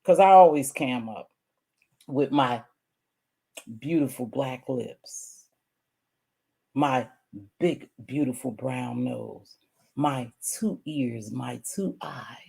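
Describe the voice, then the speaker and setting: A woman with a hoarse voice, ranting at her online viewers in a casual, scolding way that swings between loud outbursts and low mutters. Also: slow pace, long pauses. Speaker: online streamer. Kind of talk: heated casual rant to viewers.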